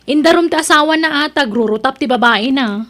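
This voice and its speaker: A woman's voice: high voice, brisk, a bit bright. A woman playing a struggling single mother who sells vegetables on the street, plaintive, in an Ilocano-accented audio drama. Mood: tearful, pleading.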